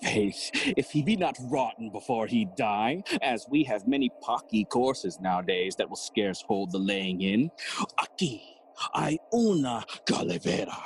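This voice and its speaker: An actor playing a jolly, cheeky gravedigger who riddles and teases in a theatrical bilingual Spanish-English Shakespeare audio play. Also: male voice, mid-range voice, sing-song, unhurried. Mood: amused, exclaiming. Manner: teasing, sighing and chuckling, theatrical.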